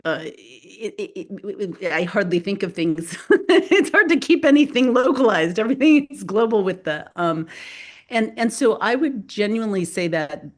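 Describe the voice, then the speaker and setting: A woman's voice: medium pitch, lively intonation, normal speed. A woman whose first language is Spanish, a guest author talking loosely in an interview with many fillers and restarts. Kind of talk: casual spoken interview.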